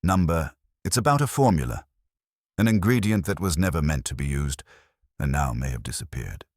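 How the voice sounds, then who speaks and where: A man's voice: very deep voice, lively intonation, normal speed, a little mellow, dry recording, clean studio sound. A man voicing an earnest culinary chemist in his late thirties, formal and stiff, in an audio mystery. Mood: guarded, serious. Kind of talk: detective audio drama.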